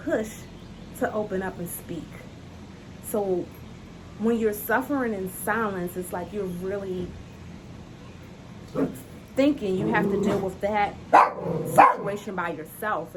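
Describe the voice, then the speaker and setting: A woman's voice: medium pitch, noisy background. A woman speaking as a self-love coach, giving an earnest, encouraging talk to camera and repeating her key phrases. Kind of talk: heartfelt motivational talk to camera. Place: outdoors on a hot day.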